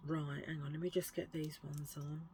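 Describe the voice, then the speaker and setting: Husky-voiced chatty woman. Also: little pitch movement, normal speed, a little mellow, slight background noise. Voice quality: husky, low voice. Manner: rambling, self-mocking, chatty with fillers. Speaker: vlogger showing a thrift haul. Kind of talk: casual chatty haul video.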